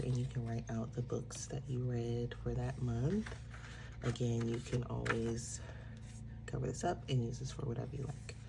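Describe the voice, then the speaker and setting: Congested woman with a gravelly voice. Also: rumbling low, background noise. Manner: relaxed explaining with frequent fillers. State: congested, a little nasal. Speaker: planner shop owner. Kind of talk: casual product walkthrough.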